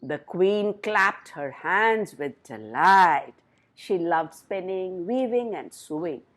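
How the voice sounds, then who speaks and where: A woman telling a fairytale to children in an expressive storytelling style. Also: on the low side, takes it slowly.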